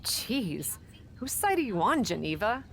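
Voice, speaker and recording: A flippant young woman wrestler chats in a game cutscene. Her mid-pitched voice is offhand and a bit defensive. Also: sing-song, measured pace, vocal fry. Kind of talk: casual video game cutscene dialogue.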